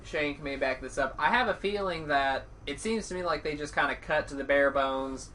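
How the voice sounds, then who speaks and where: Bright-voiced man, distant and noisy mic. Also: fairly high pitch, normal speed. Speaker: podcast co-host. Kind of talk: casual chat. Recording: distant mic with background noise.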